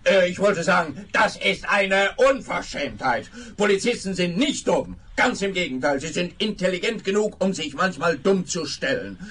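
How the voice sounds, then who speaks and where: A man's voice: high-pitched, lively intonation, moderate pace, hardly any pauses, a bit bright, husky, exaggerated voice. A man playing a pompous, dim-witted, grumbling police officer in a radio comedy, blustering and self-important. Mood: indignant.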